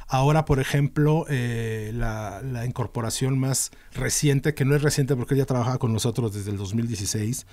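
A middle-aged man with a Mexican accent talks at an ordinary pitch, explaining things at length and filling gaps with fillers and restarts. He speaks into a studio mic in a small podcast studio. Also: normal speed, hardly any pauses, a little mellow. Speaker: band manager, podcast guest. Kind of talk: casual interview talk. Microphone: studio mic on a boom arm.

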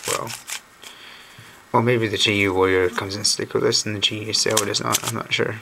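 Hoarse guy, real low and gravelly, noisy home cam audio. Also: normal speed. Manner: relaxed, thinking aloud with mild uncertainty. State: hoarse, recovering from lost voice. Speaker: cube channel host. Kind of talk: casual unboxing commentary. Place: indoors at a wooden table. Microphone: camera mic on a tabletop shot of hands. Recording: home camera audio with steady noise.

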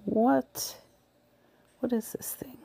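A woman's voice: on the high side, steady tone, drawn-out words, vocal fry, mellow, slightly rough. A woman chatting to viewers as she browses the shelves of a large thrift store, filmed with a body-worn camera, sometimes dropping to a whisper. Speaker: thrift reseller vlogger. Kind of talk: casual shop-with-me narration. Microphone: body-worn camera mic, point of view.

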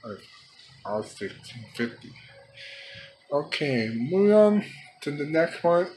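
A man with a fairly high voice presents his collection in an unscripted home video. He speaks slowly, in halting fragments, and there is some background noise on the handheld recording. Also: sing-song, darkish tone, low-quality recording. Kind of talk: unscripted product showcase vlog. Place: small room by a window. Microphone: handheld camera, speaker off screen. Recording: background noise, homemade handheld camera audio.

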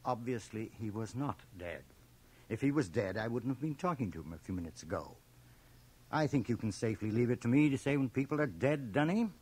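A man's voice: medium pitch, animated, brisk, darkish tone, breathy edge. A man playing an authoritative, matter-of-fact small-town doctor in a radio drama taped on cassette. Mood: dismissive, assured. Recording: cassette-sourced radio drama, faint hiss.

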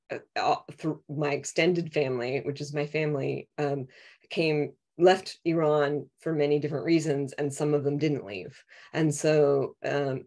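Middle-aged woman, poet on a video-call panel, halting, unscripted, reflective delivery. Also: low voice, a little mellow. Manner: halting, repeats words while thinking. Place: small home room on video call. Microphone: video call microphone.

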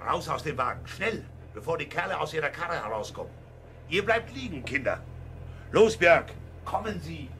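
Police inspector, urgent, commanding, animated intonation, radio drama, loud vehicle noise. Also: male voice, fairly high pitch, normal speed. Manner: urgent, barking orders. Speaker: actor. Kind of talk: 1970s German radio play acting.

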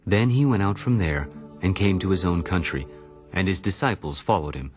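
A man narrating a dramatized audio Bible in a solemn, deliberate storytelling manner. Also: low-pitched, lively intonation, soft background music.

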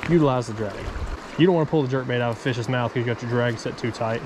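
A man's voice: mid-range voice, fast. A young man in casual vlog chat, talking in an informal, slangy way outdoors as a fishing vlogger. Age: young adult. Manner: informal, slangy bro talk. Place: outdoors beside a creek. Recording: outdoor noise from water.

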